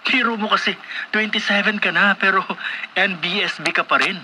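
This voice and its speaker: An actor playing a frank, teasing cousin in a radio drama, casual in manner, with a Filipino accent. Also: male voice, high voice, moderate pace, pushed and bright, slight background noise, poor audio. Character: teasing, frank male cousin of a rich woman. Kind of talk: Philippine radio drama acting.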